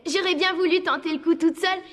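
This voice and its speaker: An actress voicing a bossy, competitive, excitable schoolgirl in a French cartoon dub, pushy and emphatic. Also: very high-pitched, fast. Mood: eager.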